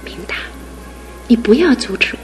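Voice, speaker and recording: An actress in a radio drama playing a devoted young fiancée who speaks earnestly and pleadingly, with steady resolve. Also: female voice, moderate pace, faint music behind, natural voice. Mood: resolute. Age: young adult. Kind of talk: broadcast radio drama acting.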